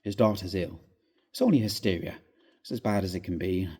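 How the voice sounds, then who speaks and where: A man's voice: deep voice, a little fast, darkish tone, breathy edge, voice pushed down. A man reading a ghost story aloud, voicing a jovial, brusque young Irish doctor who scoffs emphatically. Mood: teasing, brisk.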